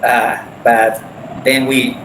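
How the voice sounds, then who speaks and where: A man sharing a personal story in casual conversation, speaking haltingly with frequent restarts over a video call. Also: mid-range voice, little pitch movement. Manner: halting, many restarts and repeated words. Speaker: meeting participant. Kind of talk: casual conversation in English as a second language. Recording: echoey room with background noise.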